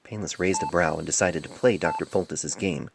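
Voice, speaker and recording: A man reading his own scripted fiction aloud in a measured, literary storybook style, recorded on a cell phone microphone. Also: sits low, vocal fry. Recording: noisy amateur cellphone recording.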